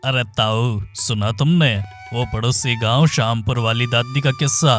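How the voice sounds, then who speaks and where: A gossiping old village uncle in a cartoon story, put on by a man, pitched well below the performer's own voice and pushed loud. He spreads rumors with relish. Music plays clearly behind him. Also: moderate pace, close to an everyday voice. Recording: background music.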